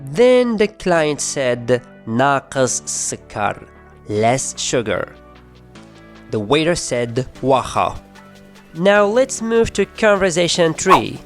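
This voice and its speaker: Man teaching over music. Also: fairly high pitch. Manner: clear step-by-step explaining, addressing learners directly. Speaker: language teacher. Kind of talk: instructional lesson narration.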